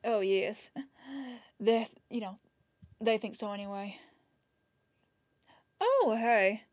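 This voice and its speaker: A woman's voice: medium pitch, expressive, slow, dry recording, dull audio. A woman playing a humble, apologetic police detective in an ASMR roleplay, chatty and rambling in a disarmingly casual way. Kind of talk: ASMR roleplay audio drama.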